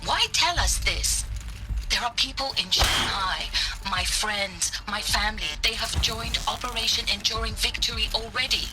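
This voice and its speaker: A woman's voice: a little high, loud music behind the voice, close to an everyday voice, rough recording. An actress as a bewildered, distressed woman art historian. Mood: distressed, confused. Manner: halting, questioning, pleading. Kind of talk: dramatic video game acting.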